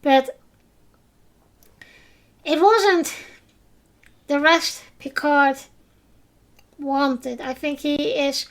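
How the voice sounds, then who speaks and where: Woman, high voice, hesitant, lots of fillers, podcast recap host. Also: very slow, long pauses. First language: Dutch. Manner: hesitant, many fillers and restarts; simple non-native phrasing. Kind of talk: casual episode recap.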